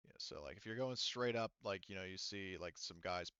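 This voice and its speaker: A man running a tabletop game as game master, narrating casually in an informal, halting manner. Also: deep voice, bright, forceful tone, smooth, dry recording. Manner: informal, halting, sometimes profane. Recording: dull, muffled lo-fi sound.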